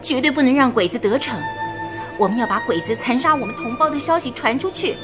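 An actress playing a spirited, resolute young radio station worker, speaking with emphatic expression in a wartime radio play. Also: female voice, high-pitched, quick pace, over music, natural voice. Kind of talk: dramatic radio play dialogue.

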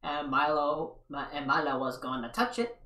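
A man's voice: fairly high pitch, steady tone, moderate pace, sharp tone. A young man giving a rambling, casual recap commentary from an armchair in a small living room, with sarcastic asides. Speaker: video commentator. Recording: echoey room, mic far off.

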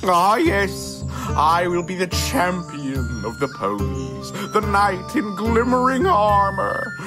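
A woman's voice: on the low side, sing-song, slow, over music. A woman playing a sly, pompous, mischievous chaos creature in a fan cartoon, drawling with mock grandeur and sarcasm. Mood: grandiose.

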